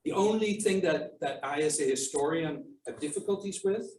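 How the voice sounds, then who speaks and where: A man's voice: a little high, moderate pace, a little mellow. Man speaking from far off in an echoey room. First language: not English. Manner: thoughtful, hesitant with many ums and restarts. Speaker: author and archivist. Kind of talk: answering audience questions at a book launch. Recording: echoey room, mic from far off, faint noise.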